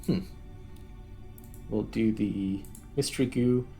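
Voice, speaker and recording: Guy doing casual gaming commentary, lowish voice w lots of vocal fry, kinda talking to himself, bit of music behind. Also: fairly even intonation, takes it slowly, warm tone. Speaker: gaming video host. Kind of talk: casual gameplay commentary, thinking aloud.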